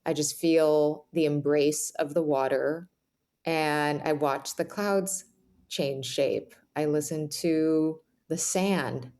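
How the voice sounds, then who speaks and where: A woman's voice: low voice, steady tone, slow pace, a little mellow. A woman speaking as a podcast guest, reflective and conversational, with casual phrasing.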